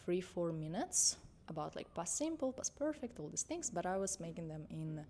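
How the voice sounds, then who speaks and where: A young woman talks straight to her phone camera, unscripted and chatty. Her voice sits low for a woman, and she often stops to correct herself while keeping a reassuring tone. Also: expressive, moderate pace, mellow, a little hiss or hum. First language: Russian. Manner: conversational, self-correcting, reassuring. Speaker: confidence and mindset coach. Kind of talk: unscripted vlog talk to camera.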